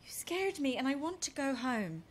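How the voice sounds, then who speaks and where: An actress playing a prim, wary romance writer, fussy and indignant, in a horror audio drama. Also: female voice, high-pitched, sing-song, moderate pace. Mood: alarmed, indignant.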